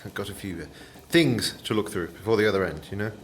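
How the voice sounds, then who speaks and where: A man's voice: on the low side, fast talker, slight background noise, lightly acted. An actor playing a courteous, slightly awkward and kindly man on a train in a radio play, tentative and reassuring. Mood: awkward, apologetic. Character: polite, awkward, kindly man, fellow train passenger and writer.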